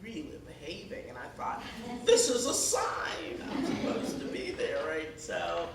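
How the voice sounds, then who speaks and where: A woman's voice: on the low side, measured pace, gravelly. A middle-aged woman from Ghana who moved to the US as a child, giving a conversational public talk as an author, telling anecdotes and acting out the dialogue. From born in Ghana, moved to the US at six. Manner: animated storytelling, acts out dialogue. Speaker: guest speaker, author. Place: high school event space, indoors. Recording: echoey room, distant mic, background noise.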